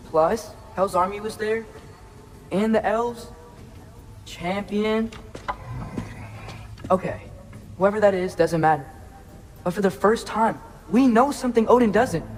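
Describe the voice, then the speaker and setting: A man's voice: sits high, lively intonation, measured pace, long pauses. A young actor playing an eager, earnest teenage boy hero in a video game, insistent and youthful in his delivery. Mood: excited, insistent. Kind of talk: video game cutscene acting. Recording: music behind the voice.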